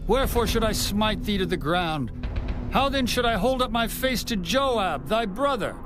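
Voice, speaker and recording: A man's voice: high-pitched, expressive, normal speed, music in the background. A man playing a grave, urgent army commander in a dramatized scripture reading, declaiming archaic lines as a plea. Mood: urgent warning.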